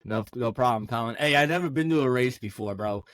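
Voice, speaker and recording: A man's voice: mid-range voice, fast talker, a bit bright, no room sound. A young man hosting a podcast, talking loosely with lots of fillers and easy laughs. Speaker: podcast host; active-duty service member. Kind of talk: casual interview chat.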